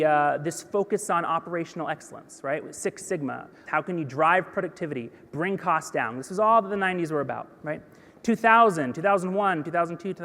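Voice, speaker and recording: A man in his thirties giving a business keynote on a large stage, energetic and conversational, with self-deprecating asides. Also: fairly high pitch, big-room sound. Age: 30s. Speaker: keynote speaker. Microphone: headset mic.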